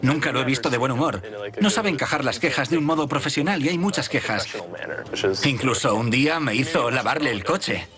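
A man's voice: mid-range voice, expressive, fairly quick, crowd noise, lightly acted. A man dubbing a former busboy, recounting his job matter-of-factly. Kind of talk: dubbed reality TV interview. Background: background music.

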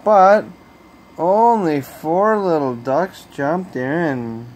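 A high-pitched male storyteller reads a picture book to young children at a slow pace. His phrasing is deliberate and expressive. It is a homemade phone recording with a steady hum behind the voice. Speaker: storyteller reading aloud to children. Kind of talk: bedtime picture-book read-aloud. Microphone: handheld phone camera.

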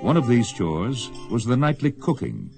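A man's voice: medium pitch, wildly swooping pitch, normal speed, gravelly. A man narrating a radio western as a solemn storyteller, with music behind him. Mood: solemn, measured. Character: solemn storyteller of an Old West tale.

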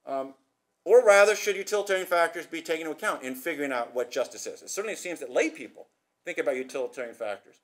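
Brisk, fast-talking male academic speaker. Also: on the high side, animated. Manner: brisk, gesturing, asks rhetorical questions. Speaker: conference speaker. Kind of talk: academic presentation. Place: conference hall, at a podium. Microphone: podium microphone.

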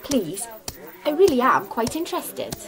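An actress playing a polite, curious archaeologist in an audio drama, earnest and questioning. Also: female voice, a little high, very animated, unhurried, crowd noise.

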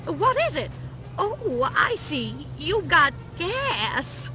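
A woman's voice: sits high, sing-song, very slow, pushed and bright, full character voice. A woman voicing a chirpy, naive, over-polite female tour-guide robot in a video game. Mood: perky, helpful. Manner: perky, over-polite helpful chatter. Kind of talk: video game character acting. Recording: music behind the voice.

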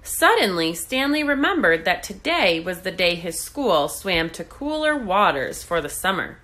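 A woman reading a children's storybook aloud in an expressive, deliberate way. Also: lowish voice. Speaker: read-aloud host.

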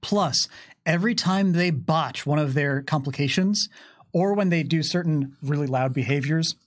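A man in his thirties narrating a tabletop game and explaining its rules in a conversational, animated way. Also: a little high, moderate pace. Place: small curtained studio, indoors. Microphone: microphone on desk boom arm.

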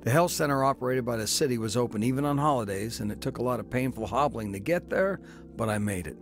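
A man's voice: mid-range voice, animated, fast, faint music behind, close to an everyday voice. An actor playing a down-on-his-luck man who narrates his own story in a radio drama. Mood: reflective.

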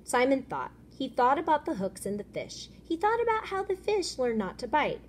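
High, expressive woman reading a picture book. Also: moderate pace, slight background noise. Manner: expressive, instructive. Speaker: fourth grade teacher reading aloud. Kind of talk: children's picture book read-aloud.

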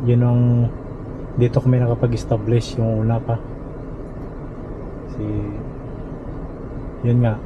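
A middle-aged Filipino man talks to his viewers from the driver's seat of a car, relaxed and chatty. His delivery is flat, with little rise and fall. The action camera sound is muffled, and loud road noise runs underneath. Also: medium pitch, slow pace, long pauses. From Philippines. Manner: relaxed, addresses viewers as idols, code-switches into English. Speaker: vlogger. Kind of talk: casual travel vlog narration. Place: inside a car on a road trip. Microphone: action camera mounted in the car.

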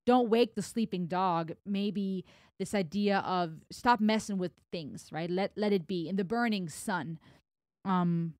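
A woman in her thirties from Germany, with German as her first language, giving an animated music reaction on a boom mic in a home studio. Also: a little slow, dry recording. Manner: animated, gestures while talking, reads lyrics aloud. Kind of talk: casual music reaction commentary. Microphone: broadcast mic on boom arm, headphones.

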